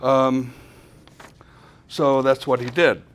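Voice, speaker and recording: A man presenting an informal technical talk at a conference, speaking haltingly and often repeating words as he goes. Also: medium pitch, little pitch movement, slight background noise.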